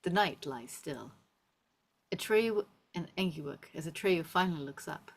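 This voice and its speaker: A woman's voice: low-pitched, lively intonation, normal speed, creaky. A woman narrator reading scene descriptions and stage directions aloud at a script table read.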